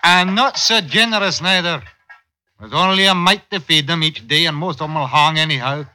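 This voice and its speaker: An actor playing a stingy, callous jailer who bosses people about in folksy dialect, in old-time radio drama style. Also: male voice, on the high side, moderate pace, sharp tone. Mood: gruff, ordering. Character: stingy, callous jailer bossing his wife.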